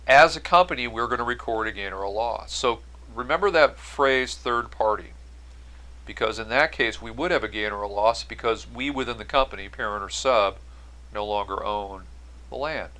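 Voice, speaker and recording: A man's voice: medium pitch, sharp tone. A man giving an explanatory accounting-style lecture as an instructor, going step by step and stressing key phrases. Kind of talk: explanatory lecture over on-screen notes.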